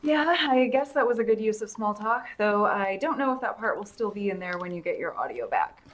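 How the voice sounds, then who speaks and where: An actress playing an upbeat, savvy political press aide in an audio drama, talking in a casual but businesslike way. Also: female voice, medium pitch, lively intonation, fairly quick, natural voice. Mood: teasing. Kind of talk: radio theater acting, conversational dialogue.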